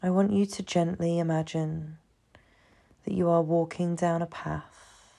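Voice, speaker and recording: Slow, low-voiced woman guiding meditation. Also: steady tone, vocal fry. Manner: soothing, hushed, unhurried with long pauses. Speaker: meditation guide. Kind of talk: guided meditation, slow scripted narration.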